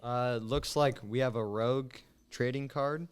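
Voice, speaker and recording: A man's voice: mid-range voice, steady tone, measured pace, smooth. A man in his late teens, a student guest, chatting casually on a talk show. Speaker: high school student guest. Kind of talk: casual chat.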